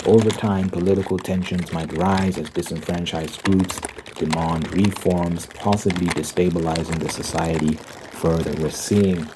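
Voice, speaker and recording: Male ASMR host, deep register, measured opinionated delivery, noisy recording with typing and crinkling. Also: steady tone. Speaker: host of an ASMR rambling channel. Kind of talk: ASMR ramble with reading aloud.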